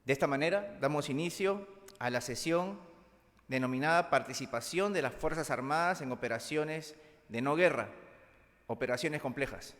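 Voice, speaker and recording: A man reading event announcements in a steady, official, scripted way. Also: fairly high pitch, moderate pace. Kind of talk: formal announcement. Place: large conference hall. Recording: long hall reverb.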